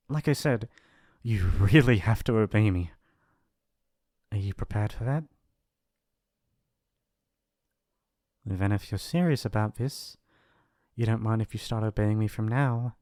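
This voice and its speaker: A man's voice: medium pitch, a little mellow, no room sound, close to an everyday voice. Man acting a shy, doting young mafia heir, dry close-up. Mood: earnest, tentative. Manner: hesitant, earnest, stammering, affectionate. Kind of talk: intimate ASMR roleplay monologue. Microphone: close studio mic, dry.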